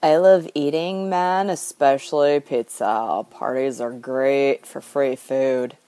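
A woman's voice: a bass voice, fairly even intonation, slow, mellow, smooth, dry recording, pitched below the performer's own voice. A woman voicing a laid-back, food-loving dude in a toy animation, with a slangy drawl.

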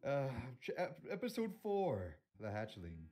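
Female narrator, unhurried scripted read, lively inflection, music faintly behind. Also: extremely low pitch. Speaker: narrator in a live dub.

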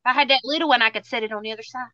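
A woman's voice: fairly high pitch, rapid-fire, pushed and bright, dull audio. A woman in her thirties with a Southern US accent, chatting and joking casually while hosting a craft livestream. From Tennessee, USA. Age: 30s. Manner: chatty, joking, self-mocking.

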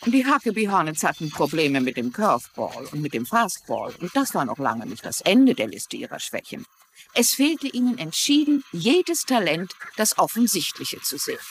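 Older lady narrator, dry and ironic, very lively melody, bit of noise. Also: on the low side, normal speed. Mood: wry. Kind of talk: scripted storytelling narration in a dubbed crime drama.

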